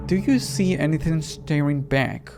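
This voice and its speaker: An eerie male art dealer from a horror visual novel, acted out by a man. He speaks in formal, slow, theatrical phrases, reverent and mysterious. Clear music plays behind him. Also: fairly high pitch, darkish tone.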